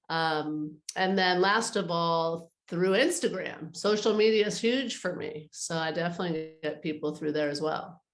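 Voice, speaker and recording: Middle-aged woman in an echoey room. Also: lowish voice. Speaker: interview guest, artist advisor. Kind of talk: casual conversational interview.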